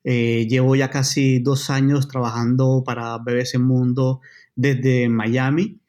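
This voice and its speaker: A man, talking as a podcast guest at an average pitch. He speaks casually and hesitantly, stopping and restarting, and his pace speeds up and slows down. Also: flat delivery, darkish tone. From Colombia. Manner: hesitant, many fillers and restarts, uneven pace. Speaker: journalist guest. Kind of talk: casual chat.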